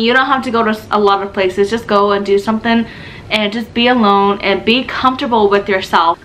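A woman's voice: mid-range voice, fairly bright tone, a little hiss or hum, rough recording. A young woman talking casually to her camera at home, chatty and confiding, with a stuffy nose and a little out of breath. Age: young adult. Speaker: vlogger. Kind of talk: casual vlog talk. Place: home room, indoors.

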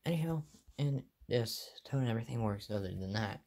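A young American man with a medium-pitched voice talks viewers through a hobby in a casual way. His phrases are short, plain and offhand. It sounds like a handheld phone video made in a bedroom. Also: animated, fairly quick, gravelly, a little mellow. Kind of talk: casual show-and-tell narration. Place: small carpeted bedroom, indoors. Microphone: handheld phone behind the camera.

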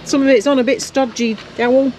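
Australian woman behind the camera, wry teasing chat, higher voice, noisy outdoor yard. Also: steady tone, fairly quick, darkish tone. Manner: dry, teasing remarks. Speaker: partner filming the work. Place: outdoor boatyard. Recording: clear outdoor noise.

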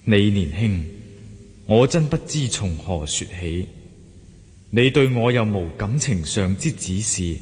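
An actor playing a melancholy, lovelorn young scholar in a radio drama, voicing his letter in formal, measured literary phrases. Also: on the low side, expressive, a little mellow. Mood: melancholy, yearning. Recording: long hall-like reverb on voice.

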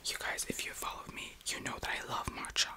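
Whispering young woman doing ASMR. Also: a little fast. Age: about 20. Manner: whispers almost throughout; excited, giggly, repeats words softly. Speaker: ASMR video creator and host. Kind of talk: ASMR whispering, casual mukbang chat.

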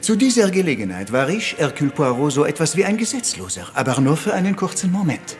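Fussy detective, radio drama, very formal n theatrical, voice swings up and down, faint music behind. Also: male voice, on the high side, big pitch swings, quick pace. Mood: self-assured. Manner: formal and theatrical, sprinkled with French courtesies. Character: fussy, vain Belgian private detective, middle-aged man. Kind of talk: German radio crime drama acting.

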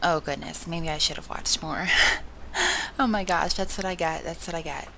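A young woman with a sore, hoarse throat, giving casual, chatty and self-mocking commentary on a video game, slipping into soft whispery asides. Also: low voice, fairly even intonation, normal speed, a little hiss or hum. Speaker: gameplay commentator. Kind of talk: casual let's-play commentary.

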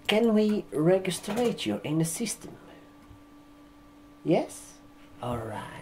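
A man in his twenties doing a soft-spoken ASMR barbershop roleplay, speaking haltingly in short phrases. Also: high-pitched, very animated, slight background noise. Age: 20s. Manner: slow, halting, hushed delivery with short phrases. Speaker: ASMR performer playing a barber receptionist. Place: indoor room, seated at a desk. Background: computer keyboard typing and clicks.

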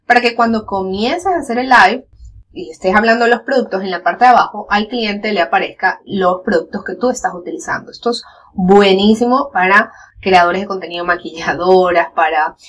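A woman's voice: medium pitch, low-quality recording. A woman in her thirties giving a chatty step-by-step screen-share tutorial from home, explaining as she goes with many fillers. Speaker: online business educator. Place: home room, webcam corner inset.

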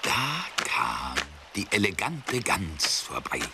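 A man narrating a children's story expressively, with deliberate, dramatic pauses. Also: low-pitched, huge pitch swings. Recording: clearly noisy soundtrack.

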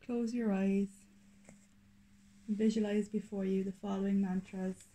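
A woman leading a guided meditation in a soothing, deliberate manner, speaking in short, unhurried phrases. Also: medium pitch, level tone, dark tone, low-quality recording.

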